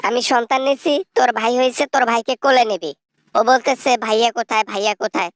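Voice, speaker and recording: A scheming elderly mother, acted by a woman in a political parody skit. Her voice sits fairly high and sounds very dry. She coaxes and boasts without shame. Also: fast talker, dry recording, lightly acted. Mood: placating. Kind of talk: satirical phone-call parody skit.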